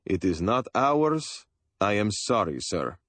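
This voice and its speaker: A man's voice: fairly low pitch, sing-song, takes it slowly, a bit bright, no room sound, clean studio sound, pitched above the performer's own voice, full character voice. A man voicing a stubborn older father who speaks in a firm, polite way. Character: stubborn older male rug repairer, a father.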